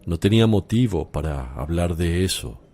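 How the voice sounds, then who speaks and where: A man playing Jesus in a religious radio drama, a calm, solemn teacher who speaks in a measured, firm way. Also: low-pitched.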